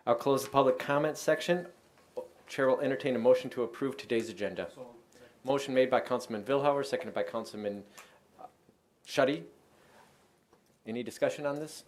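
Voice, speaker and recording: An American man speaking as a mayor, running formal council procedure in a brisk, businesslike way. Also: mid-range voice. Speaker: mayor chairing a city council meeting.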